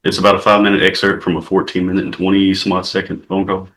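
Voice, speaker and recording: A male officer gives short formal testimony in court. His voice is low and flat, and he answers quickly. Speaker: police witness, captain.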